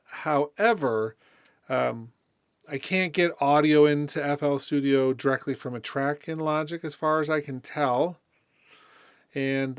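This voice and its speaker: A man giving a casual, unscripted music software tutorial, thinking aloud in a conversational way as he demonstrates. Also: medium pitch, no room sound.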